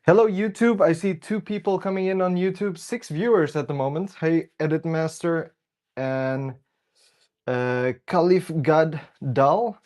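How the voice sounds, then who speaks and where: A man's voice: a little high, a little slow, darkish tone. A young man with the sniffles, hosting a casual livestream while he works and chatting to viewers as they come in. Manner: chatty, greets viewers by name. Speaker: livestream host. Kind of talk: casual live-stream chat while working.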